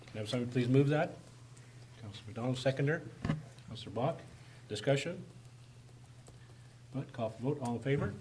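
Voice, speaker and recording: Hoarse man chairing a council meeting. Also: mid-range voice, a little mellow. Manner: brisk, procedural motions. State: hoarse, raspy voice. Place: council chamber. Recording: noisy room recording.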